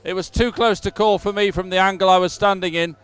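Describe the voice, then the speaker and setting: Male live sports presenter with a high, clean, resonant voice, ad-libbing between events. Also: fairly even intonation, fairly quick, fairly bright tone, clear, resonant tone.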